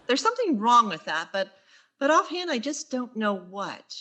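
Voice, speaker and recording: A woman playing a wry schoolteacher with quick deadpan asides in a vintage radio sitcom style. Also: a little high. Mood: wry, friendly.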